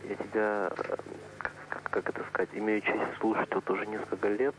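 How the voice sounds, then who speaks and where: A man calling in to a radio show, asking questions haltingly with many fillers. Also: fairly low pitch, little pitch movement, background noise. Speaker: caller. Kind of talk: phone-in question.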